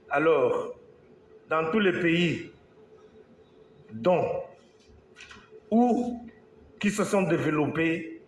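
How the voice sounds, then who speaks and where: Man from congo, fairly high voice, very slow halting speech, echoey hall, faint noise. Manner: slow, halting, with many pauses and restarts. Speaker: engineer giving a talk. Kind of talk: speech to an audience.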